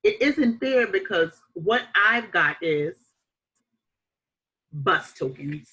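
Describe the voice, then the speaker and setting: Bright-voiced woman reading aloud to children. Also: fairly low pitch, animated, highs cut off. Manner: deliberate, emphatic phrasing with dramatic pauses. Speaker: teacher reading a picture book aloud. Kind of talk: expressive children's read-aloud.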